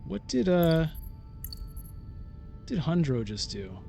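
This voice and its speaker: A man with a slightly high voice narrates his own gameplay casually. He talks at an unhurried pace, halting as he deliberates and puts questions to himself. Music plays behind him. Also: sing-song, gravelly, mellow. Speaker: gamer, let's-play host. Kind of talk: casual thinking-aloud game commentary. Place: solo recording of a digital board game playthrough.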